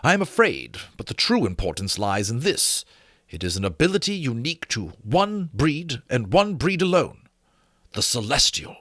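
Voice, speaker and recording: A grave, learned gentleman, played by a man reading a novel aloud. His voice sits high and swings widely in pitch. He explains things with formal, old-fashioned courtesy. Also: very animated, moderate pace, breathy edge, no room sound, studio quality. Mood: grave, explanatory. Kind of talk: dramatic audiobook character reading.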